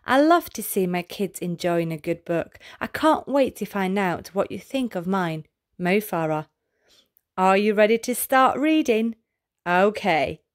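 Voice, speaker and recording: A woman reading a picture book aloud to young children in an expressive, sing-song, exaggerated storyteller's delivery. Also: mid-range voice.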